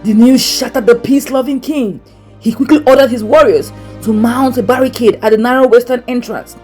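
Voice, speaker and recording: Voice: mid-range voice, fairly quick, dark tone. Folktale narrator: solemn, dramatic scripted delivery, music underneath. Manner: solemn, theatrical storytelling.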